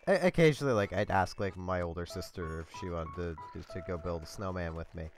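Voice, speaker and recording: A stuffed-up man talks at his home gaming setup, his fairly low voice rambling along between ums and little laughs. It is loose, casual gameplay commentary into a desk mic. Faint music plays underneath. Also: brisk. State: congested. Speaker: let's play host.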